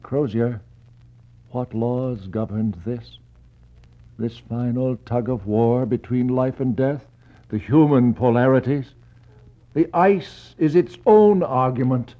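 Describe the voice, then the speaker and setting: Actor as a solemn, slow explorer-narrator. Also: male voice, mid-range voice, close to an everyday voice. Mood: probing, grave. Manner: incantatory, rhetorical questioning. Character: solemn, probing explorer-narrator addressing the dead, poetic and intense. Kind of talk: 1960s radio verse drama. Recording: muffled, faintly noisy 1960s radio recording.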